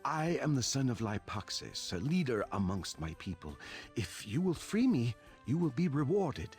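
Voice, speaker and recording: A man's voice: mid-range voice, sing-song, normal speed, darkish tone, faint music behind, lightly acted. An actor playing a proud barbarian tribesman in a game, declaiming his lines dramatically. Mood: proud, appealing. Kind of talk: dramatic scripted game dialogue.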